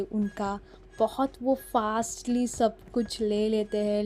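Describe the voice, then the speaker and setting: Gaming vlogger, woman, Marathi native, Mumbai Hindi accent, mellow tone, chatty and self-deprecating voice-over. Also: fairly high pitch, slight background noise. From Maharashtra, India. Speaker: mobile gaming YouTuber. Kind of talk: casual voice-over commentary on her gameplay. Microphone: phone mic.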